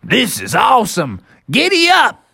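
A man voicing an excitable, adventurous young boy bear with a squeaky, exuberant delivery for a children's story. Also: takes it slowly, no echo, studio quality, full character voice. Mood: thrilled.

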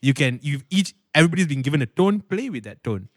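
Animated baritone man hosting a podcast. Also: quick pace, no echo, studio quality. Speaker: podcast host. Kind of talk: casual podcast conversation.

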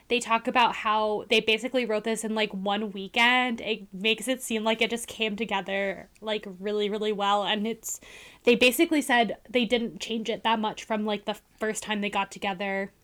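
A woman's voice: mid-range voice, steady tone, normal speed, fairly bright tone, clean tone. A woman co-hosting a movie podcast, talking casually with lots of "like". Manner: conversational, uses "like" often, sure of herself. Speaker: podcast co-host.

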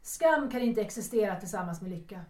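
An actress playing a wounded, sharp-tongued woman of thirty-three in a radio drama, challenging and formal in her address. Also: mid-range voice, lively intonation, distant mic, natural voice. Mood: accusing, bitter. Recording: echoey room, off the mic.

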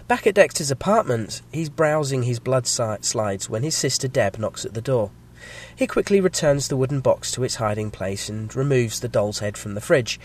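A man with a slight cold and a croaky voice, hosting a podcast and reading a measured, scripted episode review. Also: mid-range voice. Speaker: podcast host.

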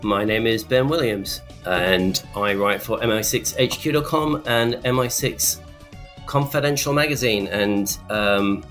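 A man's voice: lowish voice, measured pace, a bit bright, soft background music. A man speaking as a podcast guest, with dry, self-deprecating humor and hesitant fillers. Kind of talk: casual podcast chat.

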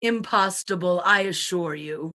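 A woman's voice: on the low side, unhurried, pushed and bright. A woman playing a breezy, haughty society widow with an airy, dismissive manner in a comic radio murder mystery. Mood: breezy denial. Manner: airy, grandly dismissive, punning.

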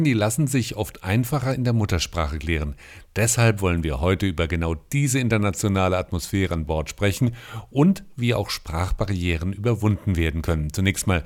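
Tiefe Männerstimme eines Podcast-Moderators. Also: male voice, fairly low pitch, a little mellow. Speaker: podcast host. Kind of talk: scripted interview podcast. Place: audio studio.

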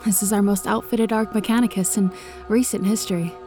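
An actress playing a devout, confident machine-cult tech priest with a solemn, formal manner in an audio drama. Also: female voice, mid-range voice, fairly even intonation, fairly quick, mellow, natural voice. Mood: proud. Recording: faint music behind the voice.

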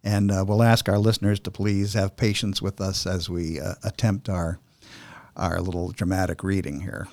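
A man hosting a podcast in a chatty, halting, explanatory way, sometimes reading verse aloud. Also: sits low, level tone, darkish tone, no echo. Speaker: podcast host. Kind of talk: casual podcast talk and poem reading.

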